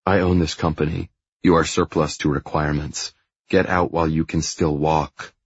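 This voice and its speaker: Man acting a deep, curt, commanding tycoon. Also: moderate pace, breathy edge, no echo, muffled recording. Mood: low menace. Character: curt, commanding, hot-tempered male tycoon. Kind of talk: audiobook character dialogue.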